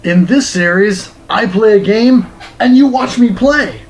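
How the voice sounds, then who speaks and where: A middle-aged American man reading a scripted review as host, with a theatrical, deliberate delivery. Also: high voice, slight background noise, poor audio. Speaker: host of a retro game review series. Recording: music under the voice. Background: music.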